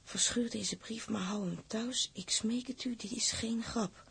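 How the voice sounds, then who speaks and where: A woman's voice: fairly high pitch, steady tone, unhurried, creaky, fairly bright tone. Worried seaman's wife, faint noise. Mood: grave, reading aloud. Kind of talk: Dutch broadcast drama acting.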